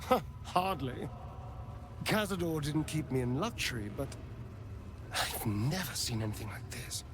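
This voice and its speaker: An actor playing a sardonic, guilt-ridden vampire spawn in a video game, theatrical and given to dramatic breathy pauses. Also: male voice, on the high side, expressive, noisy background. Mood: uneasy, scornful.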